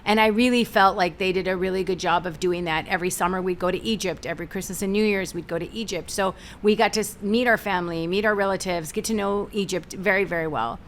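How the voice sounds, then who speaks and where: A middle-aged American woman, a guest in a talk-show interview, telling stories in an energetic, animated way. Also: medium pitch, fast, few pauses, a little hiss or hum. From United States. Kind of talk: conversational interview.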